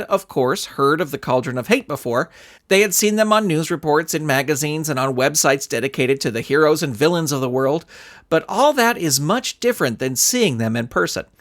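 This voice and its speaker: A man reading a scripted story aloud in a theatrical, expressive storytelling manner. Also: fairly high pitch. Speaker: narrator and author reading his own story. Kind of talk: animated scripted audiobook narration.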